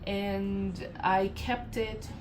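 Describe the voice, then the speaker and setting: A woman from Colombia with a Colombian Spanish accent, giving a casual channeled tarot reading in a meandering way, full of fillers, self-corrections and soft laughs. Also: medium pitch, fairly even intonation, drawn-out words, over loud music. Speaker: tarot reader.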